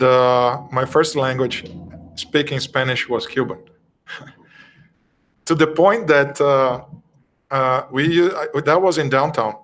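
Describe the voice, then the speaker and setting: A middle-aged man with a Brazilian Portuguese accent, a native Portuguese speaker, giving an informal class at a spiritist study group in an earnest, conversational way and often pausing to search for words. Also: mid-range voice, a bit bright. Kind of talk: informal doctrinal class talk.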